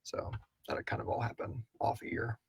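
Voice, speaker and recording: A man's voice: moderate pace, dry recording, whispered, studio quality. A man hosting a casual live question-and-answer chat, talking in a rambling, irreverent way with lots of "like". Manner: rambling, frequent "like". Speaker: host and writer of a horror audio drama.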